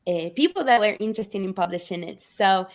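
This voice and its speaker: A middle-aged woman author speaks at an average pitch. She tells personal stories conversationally, as a guest being interviewed. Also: a little fast. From Argentina. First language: Spanish. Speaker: picture book author, guest. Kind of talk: warm personal storytelling in interview.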